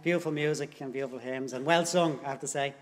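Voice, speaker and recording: A man, a local official giving a short, courteous and slightly halting formal address. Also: mid-range voice, big-room sound, faint music behind.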